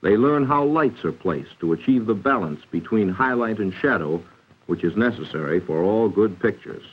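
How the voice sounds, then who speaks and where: Dull vintage film soundtrack, American man, husky voice, formal 1940s educational narration, instructive, carefully enunciated. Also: fairly low pitch, animated, normal speed. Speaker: narrator of a vocational training film.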